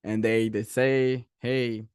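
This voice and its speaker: A man's voice: medium pitch, slow pace, clear, resonant tone, dry recording, clean studio sound. A young man from Mexico, speaking English with a Mexican Spanish accent as a second language, tells a story in a casual presentation with lots of fillers and restarts. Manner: animated, rambling, many fillers and restarts. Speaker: guest speaker, animation creator.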